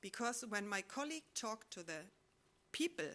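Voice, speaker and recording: A woman giving a public talk, reflective and halting, posing rhetorical questions and restarting her words often. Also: medium pitch, very animated, fairly bright tone. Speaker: conference speaker. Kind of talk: public talk sharing collected testimonies.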